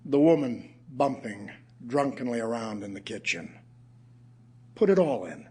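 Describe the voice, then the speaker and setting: An actor playing an ailing middle-aged writer, reciting his poems reflectively in an audio theatre piece, with a husky voice and emphatic pauses. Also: medium pitch, lively intonation, a little mellow, full character voice. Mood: reflective, urgent. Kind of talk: dramatic audio theatre poetry reading.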